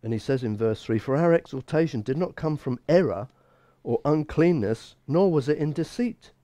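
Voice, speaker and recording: Mellow older preacher man. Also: a little high, lively intonation, normal speed, dry recording. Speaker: bishop and pastor. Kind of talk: expository Bible teaching sermon. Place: small church room, indoors.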